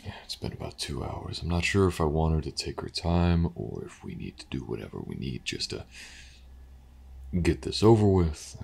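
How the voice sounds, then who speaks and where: Audio drama detective, played by a man, rock-bottom pitch, gravel-heavy fry, weary, skeptical, gruff yet earnest. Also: very animated, normal speed, a little mellow, exaggerated voice. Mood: uneasy, brooding. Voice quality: gravelly, husky. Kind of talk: audio roleplay acting, intimate and hesitant.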